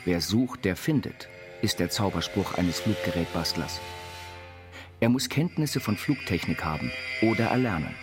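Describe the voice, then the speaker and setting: A visionary, self-taught inventor in a radio play. He recites his writings earnestly in a low voice, with prominent music underneath. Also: expressive, normal speed. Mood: earnest, instructive. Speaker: actor reading an inventor's writings.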